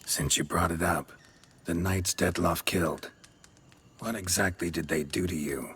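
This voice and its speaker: Very deep, stoic monster hunter. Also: male voice, normal speed, husky, full character voice. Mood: guarded, questioning. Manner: terse, deadpan. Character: stoic, weary male monster hunter, gruff and terse. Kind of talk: video game dialogue.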